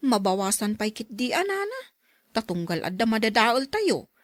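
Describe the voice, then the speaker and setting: A woman's voice: fairly high pitch, very animated, normal speed, dry recording. An actress playing a discontented, plaintive female household servant in a radio drama. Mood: complaining. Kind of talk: Ilocano radio drama acting.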